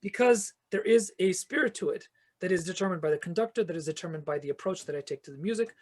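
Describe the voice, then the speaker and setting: A man with a somewhat high, husky voice speaks as a podcast guest. He explains in long, earnest stretches, circling back and restarting as his ideas build. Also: quick pace, darkish tone. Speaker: guest, fantasy author and choir conductor. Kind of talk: reflective podcast interview answers.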